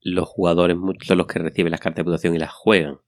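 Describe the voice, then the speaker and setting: Deep-voiced man in casual chat. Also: little pitch movement, fast. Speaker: podcast co-host. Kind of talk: casual board game discussion.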